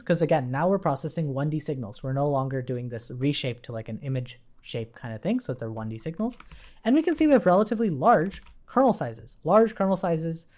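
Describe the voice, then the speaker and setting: A woman's voice: deep voice, a little mellow. A woman giving an informal live coding tutorial, explaining step by step as an instructor.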